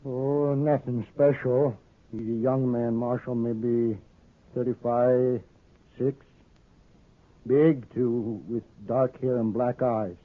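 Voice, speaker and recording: An actor playing a weary, grimly determined old American farmer in a 1950s radio western, speaking plainly and haltingly. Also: male voice, mid-range voice, little pitch movement, lightly acted. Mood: grimly resolved. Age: older. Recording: muffled, narrow-band old radio recording.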